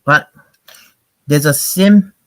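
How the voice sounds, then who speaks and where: Man acting smitten male curator for storybook: earnest, slightly halting, dry sound. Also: on the high side, no echo. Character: earnest, admiring young male museum curator in love.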